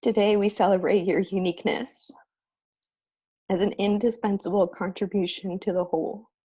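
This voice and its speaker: A woman's voice: medium pitch, steady tone, moderate pace, dark tone, husky. A woman giving a heartfelt, reflective speech at a spiritual ordination ceremony. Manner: earnest, reflective, spiritual address. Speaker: ordained minister giving a speech.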